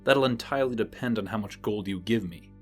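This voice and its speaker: A man's voice: on the low side, fairly quick, husky, soft background music. A man acting in an audio drama as a dour, menacing bounty hunter, cold, scheming and sarcastic. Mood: scheming, smug.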